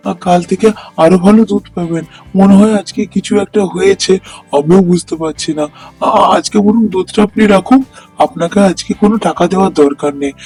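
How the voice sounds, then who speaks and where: Male actor as honest cartoon milkman, principled husband, slightly high mellow voice, earnest, music underneath. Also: close to an everyday voice. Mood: apologetic, pleading. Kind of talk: Bengali fairy-tale cartoon voice acting.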